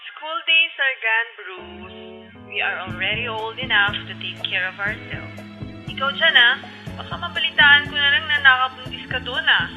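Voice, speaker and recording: A woman's voice: high-pitched, expressive, normal speed, rough recording. An actress with a Filipino accent playing a sassy young woman in a radio drama, music underneath. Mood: teasing, fond. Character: bright, teasing, bossy young woman.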